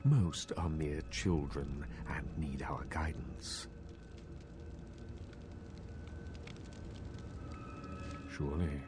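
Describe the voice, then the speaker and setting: An actor playing a secretive, courtly elder vampire in a video game, speaking in a measured, formal and condescending way. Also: male voice, a bass voice, steady tone, over music. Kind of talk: video game character dialogue.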